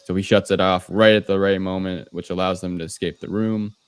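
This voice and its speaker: A man's voice: deep voice, steady tone, a little fast, smooth, dry recording. A man co-hosting a podcast, casually recapping a film in conversation. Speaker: podcast co-host. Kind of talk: casual movie recap discussion.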